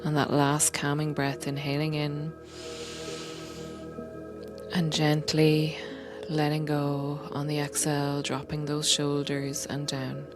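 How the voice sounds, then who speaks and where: Female meditation guide: low-key, even delivery, very slow and gentle, breathy soft moments, music underneath. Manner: gentle, unhurried, often dropping to breathy whisper. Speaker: meditation guide and coach, podcast host.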